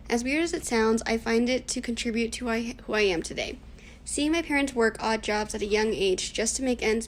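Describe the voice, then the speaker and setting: Young woman, early 20s, native Cantonese speaker, reads prepared interview answers earnestly; faint background noise. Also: on the high side. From United States. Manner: earnest, scripted, reflective. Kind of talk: read-aloud class project interview.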